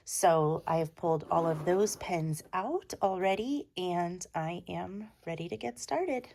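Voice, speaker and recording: A woman's voice: lowish voice, a little slow, vocal fry, darkish tone. A woman with a stuffy head cold, a teacher chatting casually about her reading journal, with frequent ums and restarts. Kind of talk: casual chat and voiceover. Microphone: voiceover mic.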